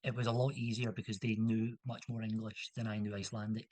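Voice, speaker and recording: A man's voice: lowish voice, flat delivery, fast talker, a little mellow. A young man from Scotland, a guest in a casual interview, talking in a rambling way with many restarts and fillers.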